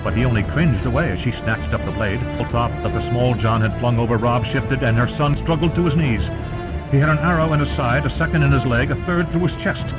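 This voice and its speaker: A man's voice: medium pitch, highs cut off. A man narrating an audiobook as dramatic scripted storytelling. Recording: loud music behind narration. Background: loud music.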